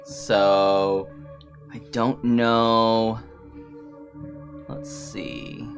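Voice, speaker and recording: A woman playing a tabletop game, thinking aloud in a slow, hesitant way. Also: very deep voice, sing-song, over music. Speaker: player. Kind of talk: casual tabletop play.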